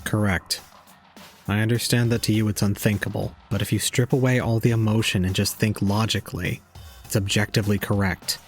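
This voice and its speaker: Low-voiced cold young man, acted by a man, gravelly and flat, deliberate audio drama fatalist. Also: soft background music, natural voice. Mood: coldly logical. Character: cold young man preaching despair with detached logic.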